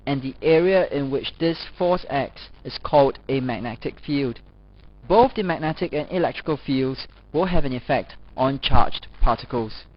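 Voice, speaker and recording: A man reading scripted educational narration as a lecturer, in a formal, measured manner. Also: on the high side. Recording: dull, lo-fi voice-over recording.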